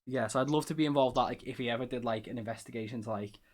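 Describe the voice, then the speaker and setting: A man's voice: medium pitch, very fast. A man with a Scouse accent hosts a casual podcast chat. He rambles with plenty of fillers and laughs at his own slips. His voice sits in an ordinary middle register. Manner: rambling, self-deprecating, lots of fillers. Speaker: podcast host.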